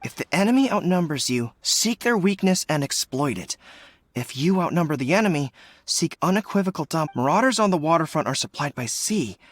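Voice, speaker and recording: Earnest young general, close and dry. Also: male voice, fairly high pitch, sing-song, dry recording. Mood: deliberate, hesitant. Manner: formal, measured, military. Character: earnest young male general, dutiful and loyal strategist. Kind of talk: video game character dialogue.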